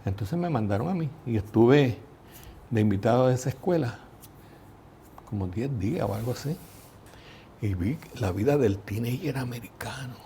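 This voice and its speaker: An older man with a Puerto Rican accent, a guest telling lively stories in an interview. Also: mid-range voice, normal speed, long pauses, a little hiss or hum. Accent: Puerto Rican Spanish. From Puerto Rico. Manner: lively anecdotal storyteller, mimics voices in quotes. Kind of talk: storytelling interview.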